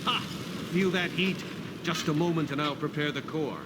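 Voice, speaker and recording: An actor playing a hearty, devout warrior smith who gives formal, emphatic encouragement in a video game. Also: male voice, on the high side, moderate pace, close to an everyday voice. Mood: urging. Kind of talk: video game character dialogue. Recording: clear background noise of a game scene.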